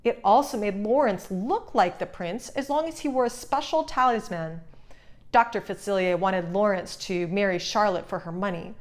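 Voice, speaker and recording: A woman's voice: medium pitch, moderate pace. A woman narrating a scripted children's story as a read-aloud. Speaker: storybook reader.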